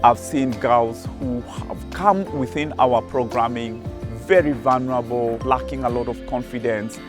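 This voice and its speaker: A man on staff at a charity, giving earnest, measured interview answers with some fillers. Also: mid-range voice, over music. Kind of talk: interview soundbites.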